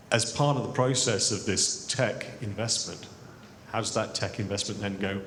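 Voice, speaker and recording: A man in the audience asking a question at a live event, speaking loudly and in a measured way. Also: on the low side. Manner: loud, measured. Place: large lecture hall. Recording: echoey hall with faint noise.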